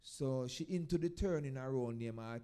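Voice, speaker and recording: Very mellow-voiced Jamaican man on stage. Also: medium pitch, clean tone. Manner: speaks in Jamaican Patois, unhurried short phrases with emphatic swells. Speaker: dub poet performer introducing a live event. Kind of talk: informal stage talk, storytelling to an audience.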